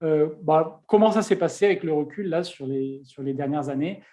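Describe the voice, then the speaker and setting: Man, host, high voice, casual interview, lots of restarts. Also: normal speed, dark tone. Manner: chatty, many fillers and restarts.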